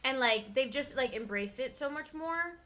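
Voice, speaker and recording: Young woman, from Miami, co-host, casual chat, bright sharp tone. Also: sits high, expressive, normal speed. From Miami, United States. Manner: chatty, heavy use of "like".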